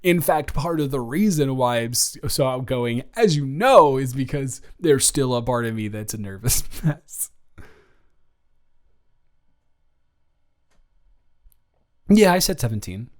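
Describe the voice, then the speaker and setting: A young man playing a nervous, loving male college student in an improvised intimate audio roleplay, speaking in halting, rambling runs with stammers and restarts. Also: medium pitch, lively intonation, close to an everyday voice. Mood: self-deprecating.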